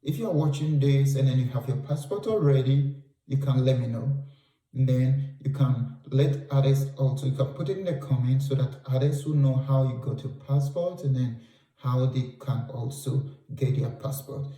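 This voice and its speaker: A Ghanaian man talks through practical advice, often repeating his key points for emphasis. His voice is very dark and mellow, and it sounds distant from the mic in a roomy space. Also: medium pitch, moderate pace. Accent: Ghanaian English. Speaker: vlogger giving travel advice. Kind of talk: advice talk to camera.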